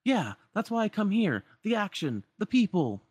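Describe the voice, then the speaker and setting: A man's voice: high-pitched, sing-song, normal speed, darkish tone, breathy edge, no room sound, voice pushed up, heavily acted. A man voicing a friendly, easygoing young street lad from a game in a breezy, informal way. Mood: wistful, upbeat.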